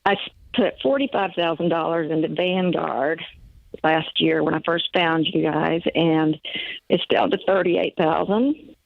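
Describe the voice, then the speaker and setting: A woman's voice: sits low, unhurried, vocal fry, no room sound. A woman in her sixties calling in to an advice show, talking hesitantly with many fillers and restarts. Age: 60s. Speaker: caller. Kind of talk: phone call to a radio advice show. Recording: tinny phone line.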